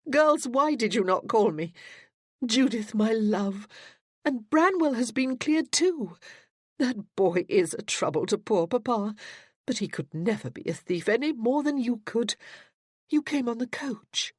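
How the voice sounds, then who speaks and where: A woman's voice: fairly high pitch, expressive, measured pace, dry recording, studio quality, pitched above the performer's own voice. A woman voicing a bustling, affectionate mother in an audiobook, fussing over her daughter.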